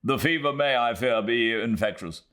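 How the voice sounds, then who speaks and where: A man's voice: medium pitch, normal speed, bright, forceful tone, voice pushed up, lightly acted. A British man voicing a grave, courteous Victorian country doctor in an audiobook, speaking in an earnest, formal, hesitant way. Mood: earnest, apologetic.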